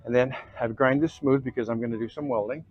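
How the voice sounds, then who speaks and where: An American man giving a casual, folksy how-to, often checking in with a quick okay or all right. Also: medium pitch, fairly even intonation, dull audio. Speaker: host of an off-road vehicle DIY channel. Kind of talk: casual how-to chat to camera.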